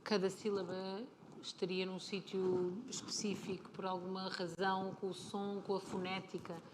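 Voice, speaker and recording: A woman with a European Portuguese accent talks as an interview guest at a convention. She is chatty and informal, explaining as she goes, in a mid-pitched voice. Clear event noise runs behind her. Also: flat delivery, normal speed. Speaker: interview guest, film and television producer. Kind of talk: casual live on-stage conversation. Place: live stage at a comic convention.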